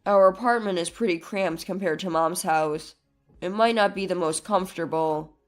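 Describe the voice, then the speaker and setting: A woman's voice: lowish voice, moderate pace, soft background music, pitched below the performer's own voice, natural voice. A woman voicing a kind, supportive young husband in a narrated family drama. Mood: kind, calm.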